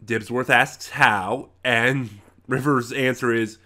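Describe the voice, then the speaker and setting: Man, mid-pitched, bright forceful voice, casual reviewer, opinionated, rambling, halting delivery, self-correcting. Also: fairly even intonation. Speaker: reviewer, channel host. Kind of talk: casual unscripted audio drama review.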